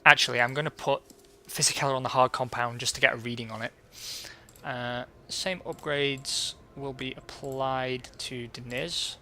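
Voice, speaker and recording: A man casually commentating a racing management game as a let's play host, his voice going hoarse and clearing his throat now and then. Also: mid-range voice, normal speed, a little hiss or hum. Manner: relaxed and chatty, reading out results.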